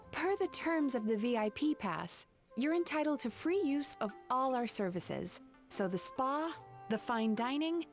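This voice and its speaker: A woman playing a polite, composed young spirit hostess and therapist in an anime game, reassuring and courteous. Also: on the high side. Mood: polite, explaining. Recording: music playing behind the voice.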